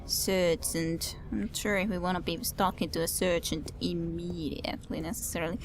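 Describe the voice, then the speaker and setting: A woman's voice: lowish voice, fairly even intonation, normal speed, slight background noise. A woman giving casual game commentary, musing aloud hesitantly and repeating her words. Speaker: let's play commentator.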